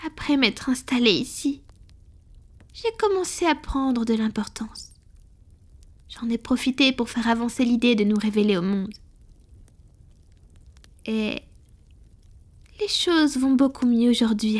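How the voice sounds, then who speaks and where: Femme jouant une vampire séculaire à voix haute et soufflée. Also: female voice, a little high, lightly acted. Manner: soft, breathy, confiding, measured. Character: centuries-old female vampire, powerful, tender, confiding, melancholic. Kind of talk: scripted intimate roleplay audio, soft-spoken with whispering.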